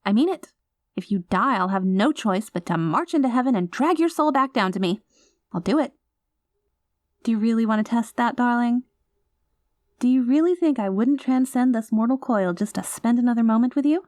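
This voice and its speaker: An anxious, clingy girlfriend acted by a woman in an intimate audio roleplay. Her voice sits fairly high and is emotive, sliding between flustered affection and teasing, possessive threats. The sound is very dry, with hardly any room to it. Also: lively intonation, normal speed, a little mellow, no echo, natural voice. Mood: playfully possessive. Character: anxious, clingy, doting reformed yandere girlfriend, playfully threatening.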